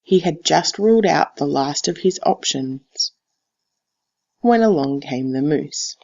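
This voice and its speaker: A teacher reads a picture book aloud in a deliberate, expressive storytelling style, on a slightly dull recording. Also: mid-range voice, vocal fry. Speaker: teacher reading to a class.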